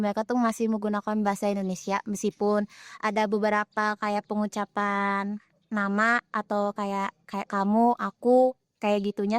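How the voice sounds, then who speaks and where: A woman's voice: mid-range voice, clean tone, no room sound. A young woman, a student explaining her experiences in an earnest, casual way. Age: young adult. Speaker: student interviewee. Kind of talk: casual group interview.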